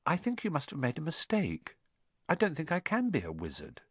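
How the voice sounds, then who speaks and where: A man voicing a timid young boy who speaks quietly and hesitantly. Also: fairly high pitch, sing-song, slow, dry recording, pitched above the performer's own voice.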